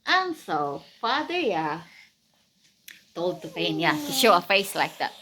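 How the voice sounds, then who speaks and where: A woman's voice: fairly low pitch, big pitch swings, unhurried, pushed and bright. A woman telling a Bible story to a young child in simple, non-native English phrasing, often asking questions and acting out dialogue. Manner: asks the listener questions, acts out dialogue.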